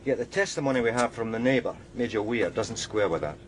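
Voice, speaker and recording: An actor playing a methodical male police detective, measured and respectful to his superior, in a radio crime drama. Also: medium pitch, expressive, a little hiss or hum, lightly acted. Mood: analytical.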